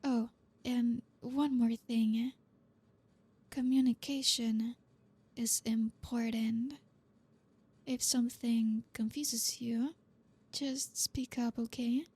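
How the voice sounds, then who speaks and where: A woman playing a flirty, dominant boss in an ASMR roleplay, speaking softly and breathily close to the mic in a teasing, commanding way. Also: on the high side, heavily acted. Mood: controlled, commanding. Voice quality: soft, breathy, often near whisper. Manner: slow, commanding, teasing, with pauses. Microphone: close mic, dry.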